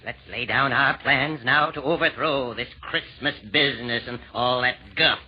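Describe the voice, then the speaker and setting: An actor playing a pompous devil chairing a wicked meeting in rhyming old-time radio comedy. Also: male voice, medium pitch, moderate pace, dull audio, a little hiss or hum, natural voice. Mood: scheming, rousing. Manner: pompous, theatrical, speaking in rhyme. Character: devilish male chairman of a scheming meeting in hell. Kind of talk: 1930s-40s American radio verse comedy acting.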